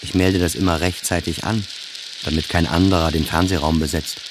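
An actor playing a weary, depressed psychiatric patient in a literary radio play, telling his story in halting, repetitive phrases. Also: deep voice, fairly even intonation, noisy background, natural voice. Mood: flat, weary. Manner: halting short phrases, repeats words, often drops to whispers.

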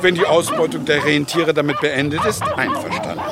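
An actor playing a quirky animal doctor in a children's radio play, hesitant but principled. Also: male voice, a little high, normal speed, heavy background noise, natural voice. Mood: hesitant, insistent. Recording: clear animal noises and ambience behind.

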